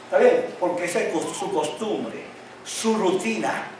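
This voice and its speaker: A man's voice: high-pitched, animated, a little slow, a little mellow, slight background noise. Man preaching in an echoey church. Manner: call-and-response questions to the congregation; says he has a tongue-tie that tangles his speech. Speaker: pastor. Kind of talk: sermon. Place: church hall. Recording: very echoey hall, mic at a distance.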